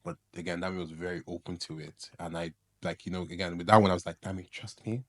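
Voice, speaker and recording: A young man from Ireland, a stylist guest chatting casually on a podcast, full of fillers and quick laughs. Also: low voice. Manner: laughs often, many "like" fillers, relaxed and self-mocking.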